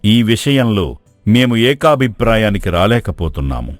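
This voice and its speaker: A man acts a thoughtful monarch in a moral tale, with a low voice in a dry recording. His speech is courtly, respectful and deliberate. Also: big pitch swings, no room sound, faint music behind. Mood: troubled, earnest. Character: humble, troubled king seeking counsel. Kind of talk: dramatised moral storytelling.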